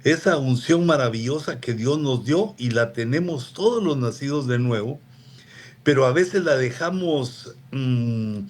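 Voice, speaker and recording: A man preaching a relaxed, conversational sermon on a radio program, often turning to his listeners with asides. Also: medium pitch. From Latin America. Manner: unhurried, digressive, with frequent asides to listeners.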